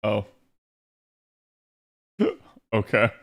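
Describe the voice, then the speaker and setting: A man's voice: fairly low pitch, big pitch swings, drawn-out words, fairly bright tone. Streamer, male, easygoing slang-heavy chat over gameplay. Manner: laid-back, slangy, laughs at himself. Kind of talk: casual gaming stream chat.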